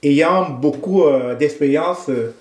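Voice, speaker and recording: A Cameroonian man, a guest in an interview, talking in a measured, thoughtful way and often pausing to find his words. His voice sits a little high. The room gives his voice a noticeable echo. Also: darkish tone, slight background noise. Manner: thoughtful, measured, with frequent hesitations. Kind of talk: conversational interview.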